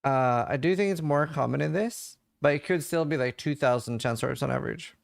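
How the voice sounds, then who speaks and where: A man talks loosely over his gameplay, answering chat and musing about his options. His voice sits a little high and has a gravelly edge. The sound is close and dry. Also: a little fast, no echo. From Norway. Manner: relaxed, thinks aloud, answers chat questions. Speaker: game streamer. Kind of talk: casual livestream chat while playing.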